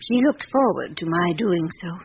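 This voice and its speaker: A woman's voice: fairly low pitch, expressive, normal speed. A stately elderly noblewoman speaks with formal, candid pride in a radio drama. Her emphatic lines come through a dull old recording with faint noise. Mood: proud, firm. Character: proud, candid elderly aristocratic French widow.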